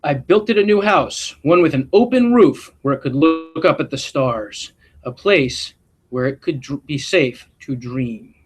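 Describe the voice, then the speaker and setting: Male read-aloud storyteller, principal type, higher-pitched voice with lively, expressive swings. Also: faint music behind. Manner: expressive, deliberate storytelling delivery. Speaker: school principal reading to students. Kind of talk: live picture-book read-aloud with asides.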